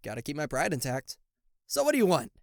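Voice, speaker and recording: A man performs a sarcastic, cocky devil for a roleplay audio. His pitch swings widely as he teases and blusters theatrically, tripping into stammers. The recording is dry, with no room sound. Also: wildly swooping pitch, fast talker, gravelly, dry recording, exaggerated voice. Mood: teasing, indignant. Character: cocky, sarcastic male devil, a fallen angel, secretly fond. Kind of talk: roleplay audio acting addressed to the listener.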